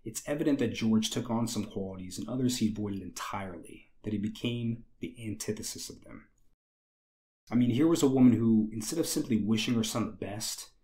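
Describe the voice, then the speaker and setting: A young man with a slightly raspy, hoarse voice, hosting a history channel and narrating from a script with wry conversational asides. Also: on the low side, a little fast, mellow. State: recovering from illness, slightly hoarse.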